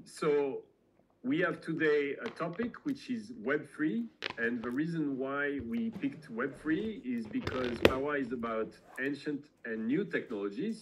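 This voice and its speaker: A middle-aged man hosting a weekly video call, speaking casually with many halting fillers. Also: mid-range voice, fairly bright tone, slight background noise, poor audio. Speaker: host.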